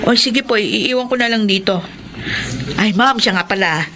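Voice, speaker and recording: A woman's voice: mid-range voice, moderate pace, sharp tone, close to an everyday voice. A woman playing a chatty, cheeky middle-aged housemaid with a Filipino accent in a radio drama, talkative and teasing. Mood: cheeky, coaxing.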